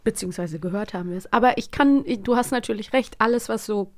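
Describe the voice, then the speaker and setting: A woman's voice: on the high side, fast. Chatty woman podcast co-host. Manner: chatty, animated, many fillers. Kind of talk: casual chat.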